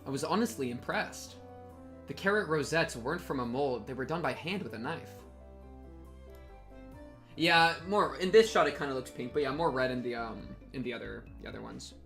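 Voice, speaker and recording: A man's voice: mid-range voice, normal speed, vocal fry, a bit bright. A young man giving casual, slangy, goofy commentary on a game and reading it aloud. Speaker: game streamer. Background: game music.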